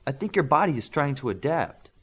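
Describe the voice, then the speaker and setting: A man voicing a young female superhero healer in an audiobook, brisk, bossy and matter-of-fact. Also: a little high, sing-song, fairly bright tone, breathy edge, voice pushed up, heavily acted. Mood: explaining.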